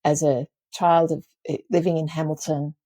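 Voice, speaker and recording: A woman hosting a podcast, earnest and gentle as she introduces and encourages her guest. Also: sits low, flat delivery, moderate pace, no room sound. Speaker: podcast host.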